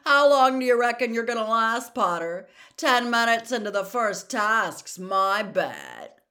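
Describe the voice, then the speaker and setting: A woman voicing a sneering, arrogant teenage schoolboy bully in a storybook reading, with a loud, taunting drawl. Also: a little high, sing-song, measured pace, pitched above the performer's own voice, full character voice.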